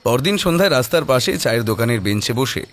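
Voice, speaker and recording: A man's voice: mid-range voice, expressive, fast. A man narrating a scripted horror story in a dramatic manner.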